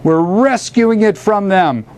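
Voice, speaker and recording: A man's voice: high voice, unhurried, darkish tone, voice pushed up. A man voicing an eccentric, grandiose elder poet in a theatrical, incantatory recital of epic verse. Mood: defiant, invoking. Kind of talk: dramatic epic poetry recital.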